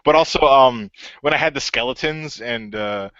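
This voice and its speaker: A man's voice: medium pitch, moderate pace, fairly bright tone, no echo. A man, the creator and game master of an audio drama, chatting casually, rambling with many fillers and laughing often. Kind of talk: casual group chat. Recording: dull lo-fi, dry sound.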